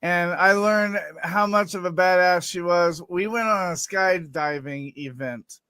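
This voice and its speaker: High, bright stammering man host. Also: unhurried, smooth, dry recording, studio quality. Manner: stammering, loose, swears casually. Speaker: show host. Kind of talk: casual podcast chat.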